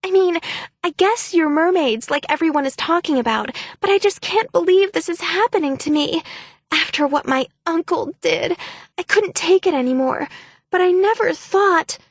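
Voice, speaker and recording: A woman's voice: very high-pitched, normal speed, dry recording, voice pushed up. A woman voicing a teenage mermaid girl who fakes hysterical terror, wailing and sobbing. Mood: panicked wailing.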